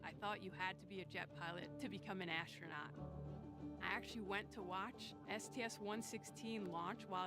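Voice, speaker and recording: A woman from New York, an astronaut commander, speaking in an earnest, measured way about herself. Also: mid-range voice. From New York, USA. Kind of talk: recorded personal profile. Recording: music bed under voice.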